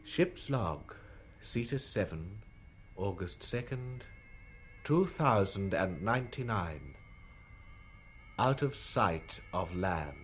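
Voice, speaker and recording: Reflective spaceship crewman, slow poetic speech, 1960s radio drama, noisy old tape. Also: low-pitched, big pitch swings, full character voice. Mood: wistful awed. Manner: measured, poetic, dramatic delivery. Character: young spaceship crewman narrator, earnest, reflective, wondering.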